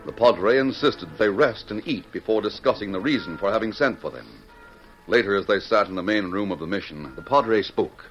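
A man's voice: on the low side, fairly quick, fairly bright tone. A man narrating a vintage radio western as its announcer, grand and declamatory in delivery. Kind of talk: 1940s-50s radio scripted narration. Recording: muffled old radio broadcast with music. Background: music.

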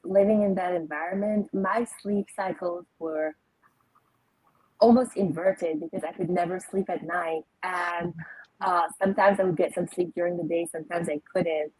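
A young adult woman from Lebanon, telling her life story as a podcast guest in a reflective way, pausing often with ums. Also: fairly low pitch, fairly even intonation, darkish tone, slightly rough, dry recording, poor audio. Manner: reflective, frequent ums and pauses. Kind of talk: personal storytelling interview.

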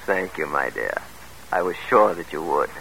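A man's voice: deep voice, expressive, moderate pace, gravelly, very noisy. An actor playing an ambitious, commanding middle-aged politician father in an old-time radio drama, with emphatic theatrical delivery. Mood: calculating, persuasive. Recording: old radio broadcast recording.

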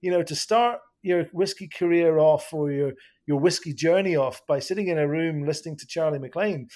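A man's voice: fairly high pitch, fairly quick, darkish tone. A Scottish man chatting as a podcast guest, laughing often and restarting his sentences. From Scotland. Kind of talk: casual podcast conversation.

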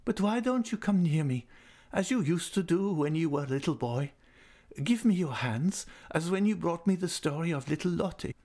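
A man's voice: a little high, expressive, normal speed, darkish tone, voice pushed up. A man voicing a cheerful, childlike old woman who is frail and bedridden, talking gaily and coaxingly.

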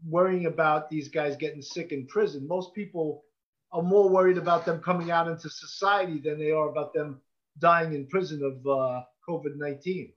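An older police officer in his sixties with a somewhat raised pitch, speaking earnestly and bluntly. The sound is muffled and lo-fi, as over a video call. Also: fairly even intonation, normal speed. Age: 60s. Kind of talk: casual conversation.

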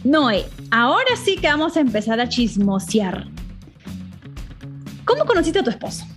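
A woman's voice: fairly high pitch, big pitch swings, moderate pace, a bit bright, soft background music. A woman in her thirties from Peru, hosting a chatty, gossipy podcast conversation. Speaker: podcast host. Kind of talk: casual chat.

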